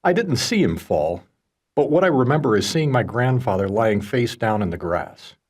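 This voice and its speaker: A man narrating a first-person audiobook as its author, telling a personal story in measured phrases. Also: mid-range voice, big pitch swings. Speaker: author reading own audiobook.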